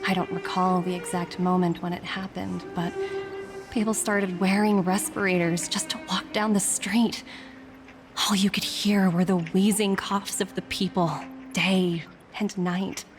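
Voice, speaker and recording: A woman voicing a composed corporate agent in a video game, reading a formal, earnest and persuasive letter in a measured way. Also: mid-range voice, level tone, natural voice. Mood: measured, solemn. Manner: measured, formal, deliberate phrasing. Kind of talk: scripted game letter monologue. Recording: background music under the voice.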